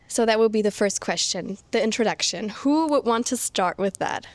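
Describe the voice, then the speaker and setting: A woman's voice: mid-range voice, expressive, normal speed, no echo. A woman hosting a podcast, casually introducing a chat among friends with frequent fillers. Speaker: podcast host. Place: indoor room.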